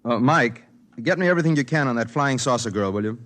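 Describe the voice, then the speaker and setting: A man's voice: mid-range voice, expressive, quick pace, fairly bright tone, lightly acted. An actor in a 1950s radio drama playing a wry, matter-of-fact newspaper reporter who tells his own story. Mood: brisk, businesslike. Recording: vintage 1950s radio broadcast.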